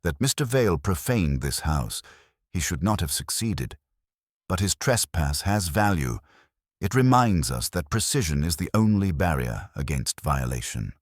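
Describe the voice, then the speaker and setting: An imperious gourmand detective as a male narrator plays him in an audiobook. He pronounces formally and scornfully in a very deep voice, with pompous precision and a dry, close sound. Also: very animated, a little slow, no room sound, exaggerated voice. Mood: stern, disdainful. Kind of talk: audiobook character dialogue.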